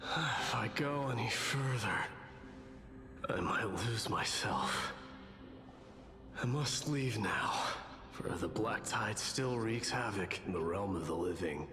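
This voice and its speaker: A man's voice: lowish voice, lively intonation, slow pace. A man playing a stoic, noble warrior in a video game, grave and formal, with reverb on his voice. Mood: grave, resolute. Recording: long echoing reverb, music behind. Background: game music.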